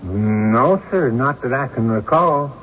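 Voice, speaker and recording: A man's voice: medium pitch, expressive, slow pace. A guarded, nervous witness in a radio drama. He answers hesitantly and deferentially, and the sound is lo-fi with faint noise behind it. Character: nervous, evasive male witness on the stand. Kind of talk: old-time radio drama acting.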